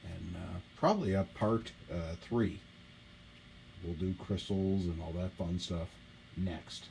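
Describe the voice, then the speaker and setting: A man with a low voice gives a casual hands-on demonstration as host of a repair channel. His delivery is informal and enthusiastic. There is light background noise from the workshop room. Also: slow, creaky, darkish tone. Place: small indoor home workshop. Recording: noisy room ambience on camera mic.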